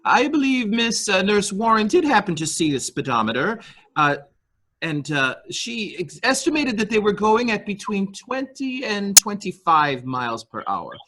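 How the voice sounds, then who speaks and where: A performer playing a polite, hesitant servant loyal to the employer, with a husky voice, in a dramatic murder mystery reading. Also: medium pitch, sing-song, a bit bright. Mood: hesitant, deferential. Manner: halting, polite, deferential.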